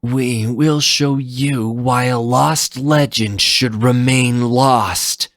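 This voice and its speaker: A man voicing a solemn, dutiful ninja guardian with measured delivery in a dramatic story. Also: mid-range voice, fairly even intonation, a bit bright, no echo, clean studio sound, voice pushed up. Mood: solemn threat. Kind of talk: dramatic fan fiction reading.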